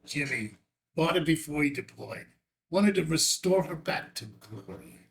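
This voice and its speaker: A man acting in a table read as an older, gentle rancher and adoptive father, halting and sincere, with a gravelly voice. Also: fairly high pitch, lively intonation, full character voice. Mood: warm reminiscing.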